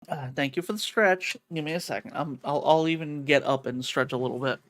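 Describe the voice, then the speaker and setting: A woman's voice: low voice, brisk, no echo. A woman streamer reading a visual novel aloud in a theatrical storytelling manner.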